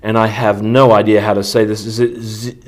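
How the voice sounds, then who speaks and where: A man hosting a casual interview, speaking in a halting, informal and effusive way. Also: on the low side, flat delivery. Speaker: podcast host, interviewer.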